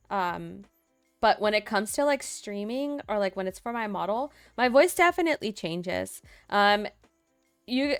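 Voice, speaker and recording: A young woman streamer in casual chat at home, talkative and laughing often, acting out quotes in a higher voice. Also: smooth, dry recording. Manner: chatty, laughs often, acts out quotes in a high voice. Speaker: streamer host. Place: home room.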